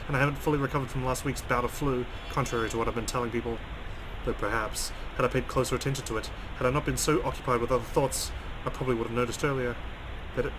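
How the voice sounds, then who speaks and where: A man's voice: mid-range voice, close to an everyday voice. A man reading aloud the part of a shaken, exhausted young woman driver in a horror visual novel, narrating dramatically. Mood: uneasy. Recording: noisy home recording.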